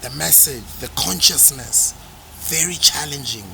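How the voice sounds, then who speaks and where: A man's voice: fairly high pitch, unhurried, gravelly, over a noisy crowd, poor audio. A man hosting a podcast, asking long earnest questions and correcting himself as he goes. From South Africa. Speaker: podcast host. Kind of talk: casual interview.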